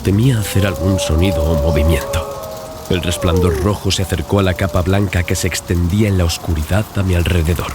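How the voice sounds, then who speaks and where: A man's voice: sits low, fairly even intonation, a little fast, over loud music. A man narrating a dramatized horror audiobook in a scripted, dramatic storytelling style. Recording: clear background ambience and noise. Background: sound-design ambience.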